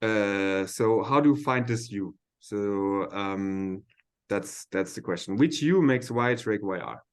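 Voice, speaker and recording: A man's voice: lowish voice, unhurried. A man lecturing at a seminar in an informal, hesitant way, thinking aloud as he explains technical material step by step.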